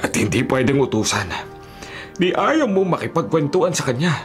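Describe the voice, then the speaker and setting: A man's voice: on the high side, big pitch swings, moderate pace, faint music behind. A Filipino-accented man playing a middle-aged town mayor, a genial but authoritative father, in a radio drama. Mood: pleased, amused.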